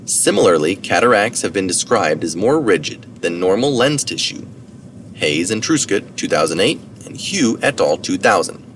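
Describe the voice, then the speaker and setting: Low-voiced man reading, distant and noisy. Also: very animated, normal speed, fairly bright tone. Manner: informal asides for citations, occasional self-correction. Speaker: reader of articles. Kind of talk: read-aloud science article narration. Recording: distant mic, noticeable background noise.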